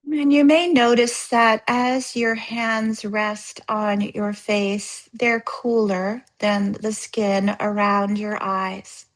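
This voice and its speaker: Female yoga therapist for guided relaxation: mid-range voice, very slow delivery, soothing and gently instructive, leaving space between phrases. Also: medium pitch, little pitch movement, poor audio. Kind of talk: guided yoga nidra meditation.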